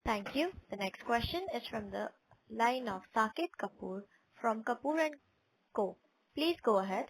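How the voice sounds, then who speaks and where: Female conference operator, fairly high voice, scripted question-queue announcements. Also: lively intonation, moderate pace, slightly rough, rough recording.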